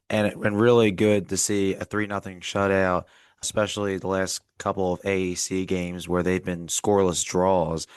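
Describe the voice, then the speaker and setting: Low, flat-voiced man with a cold. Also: clean tone, no echo, studio quality. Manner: reads off stats in an even, measured way. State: getting over a cold. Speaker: podcast co-host. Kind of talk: casual sports recap chat.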